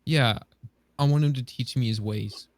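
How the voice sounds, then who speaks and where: A man's voice: mid-range voice, moderate pace, background noise. A young man in his late teens co-hosting a podcast with casual, slangy banter. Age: teens, about 17. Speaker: podcast co-host.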